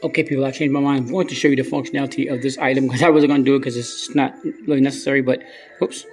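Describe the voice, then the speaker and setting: A man's voice: mid-range voice, flat delivery, rapid-fire, faint music behind. A man hosting a casual gadget review vlog, speaking in slangy phrases and often repeating his words. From United States. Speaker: gadget reviewer and video host.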